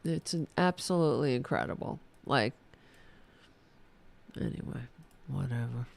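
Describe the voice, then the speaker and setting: A woman's voice: a bass voice, unhurried, gravelly. A woman, hosting a political podcast, ranting in a heated, profane, sarcastic way while losing her voice. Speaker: podcast host. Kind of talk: angry political rant.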